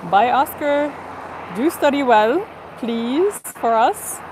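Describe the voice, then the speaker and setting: A cheerful woman with a fairly high voice hosts a live stream from outdoors near an airport. She chats casually with viewers in accented English and laughs often. Outdoor ambience and traffic noise run clearly behind her. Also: expressive, slow, darkish tone, rough recording. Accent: non-native English, neither Portuguese nor Spanish. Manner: chatty, upbeat, laughs often. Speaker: livestream host.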